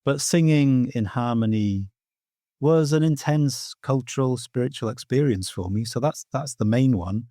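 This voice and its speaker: A middle-aged man from Wales speaks with a Welsh accent, his first language being Welsh. He explains things earnestly and thoughtfully, scattering "you know" through his answers. His voice sits at an ordinary pitch and is recorded dry and close. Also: normal speed, mellow, no room sound. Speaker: guest teacher of Welsh myth. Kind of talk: reflective podcast interview answers.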